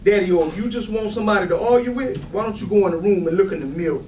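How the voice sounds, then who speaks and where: Guy acting wounded defiant son in a stage play, high voice, confrontational and pleading, mic far off. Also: racing, a little mellow, close to an everyday voice. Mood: bitter, defiant. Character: hurt, defiant grown son of an angry father. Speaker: stage actor in a readers theatre. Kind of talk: dramatic staged play reading. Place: live readers theatre room. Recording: roomy, distant mic with light noise.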